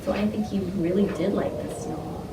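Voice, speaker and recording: A woman's voice: medium pitch, steady tone, fairly quick. A woman teacher leading an interactive read-aloud for young children, instructing, asking questions and repeating their answers back. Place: classroom with children. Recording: roomy, distant mic, loud classroom noise.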